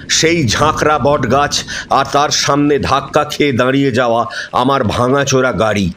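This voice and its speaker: A man narrating a horror story in the first person, dramatic and suspenseful, with pauses for effect. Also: medium pitch, slight background noise. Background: music.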